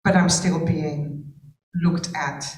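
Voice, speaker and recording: Dutch-first woman from curacao thinking out loud on a panel, lots of restarts, echoey room. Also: low voice, steady tone, slow. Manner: reflective, searching, with restarts and fillers. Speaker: panel participant. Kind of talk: panel discussion. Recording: roomy sound from far off the mic.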